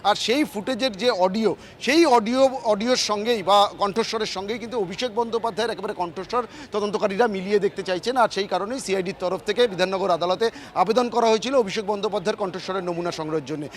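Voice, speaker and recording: Fast, high-pitched Bengali male field reporter. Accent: Bengali from West Bengal. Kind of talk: live breaking-news report. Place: outdoors outside a court complex.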